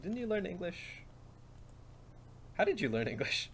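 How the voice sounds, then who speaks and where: A man chatting casually with friends, excitable, laughing often and stammering a little. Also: high voice, measured pace, slight background noise. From Australia. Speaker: friend on stream. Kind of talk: casual group chat.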